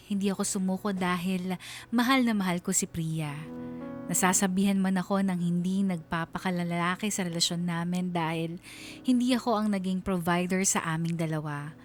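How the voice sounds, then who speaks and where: A woman giving scripted, dramatized narration as a radio drama storyteller, with music under the voice. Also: lowish voice.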